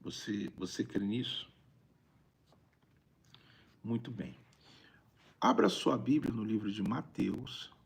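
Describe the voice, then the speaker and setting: Gravelly Brazilian man preaching informally. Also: lowish voice, very animated, takes it slowly, pauses often. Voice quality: raspy, gravelly. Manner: conversational, repeats phrases for emphasis. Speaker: bishop, preacher hosting a live stream. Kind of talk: informal livestream preaching, reading viewer greetings.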